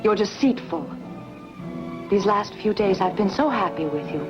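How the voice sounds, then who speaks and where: A woman's voice: medium pitch, animated, moderate pace, natural voice. A woman playing an earnest, wounded young lover in a melodramatic dubbed film. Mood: hurt, reproachful. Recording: muffled film audio under clear music.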